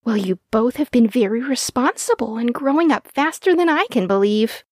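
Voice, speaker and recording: A woman's voice: fairly high pitch, expressive, normal speed, no echo, clean studio sound. A woman voicing a proud, soothing mother animal in a children's story. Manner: soothing, reassuring.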